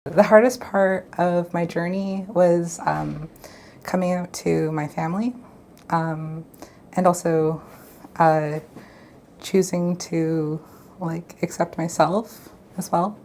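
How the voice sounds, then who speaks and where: A person of twenty-one speaking hesitantly, with many pauses and fillers, in an interview. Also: male voice, fairly high pitch, steady tone, darkish tone, slight background noise. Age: 20s. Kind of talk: interview testimony.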